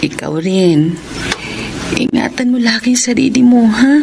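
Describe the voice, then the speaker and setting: A Filipino woman playing a gentle, remorseful older sister in a radio drama, with a creaky voice. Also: on the high side, expressive, a little slow, background noise. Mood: gentle, concerned. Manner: gentle, earnest. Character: remorseful, caring older sister recovering from illness. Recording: loud music behind the voice. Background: loud music.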